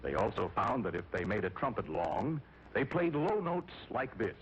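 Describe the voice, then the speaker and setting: A man's voice: lowish voice, sing-song, moderate pace. A man narrating a scripted children's story in a theatrical manner that addresses young listeners directly, on an old cartoon soundtrack. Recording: dull, noisy vintage cartoon soundtrack.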